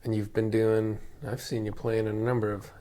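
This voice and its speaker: A man's voice: fairly low pitch, a little fast. A man interviewing artists, asking loose questions with short affirmations. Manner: relaxed, brief prompts and affirmations. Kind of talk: casual interview.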